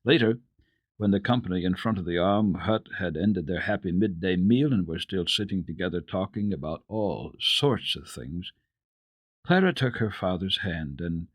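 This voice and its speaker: A man reading a children's story aloud as a radio host, in a deliberate, expressive storytelling manner. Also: low voice, no room sound, highs cut off. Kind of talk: dramatic storybook narration.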